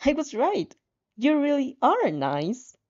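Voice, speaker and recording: A woman puts on an eager young heroine from a fan fiction story. She sounds bubbly and friendly, lifted a little high with lively swoops, on a dull lo-fi recording. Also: takes it slowly, heavily acted. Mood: eager, delighted.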